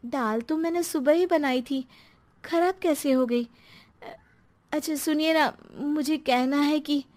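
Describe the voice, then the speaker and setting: A woman's voice: high voice, slow, darkish tone. A woman playing a timid, long-suffering middle-aged housewife in a dramatised story, meek and hesitant. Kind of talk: dramatised Hindi moral story.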